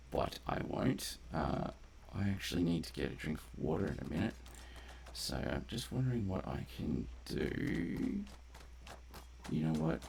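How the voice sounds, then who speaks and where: Very deep-voiced, gravelly man commentating. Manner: rambling, conversational, swears casually. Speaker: game streamer. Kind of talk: casual live gameplay commentary. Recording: faint background noise.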